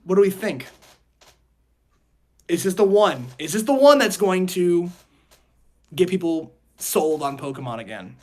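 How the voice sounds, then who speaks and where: Higher-voiced man hosting a casual podcast. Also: expressive, a little slow, long pauses. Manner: rambling, repeats phrases while posing questions. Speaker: podcast host.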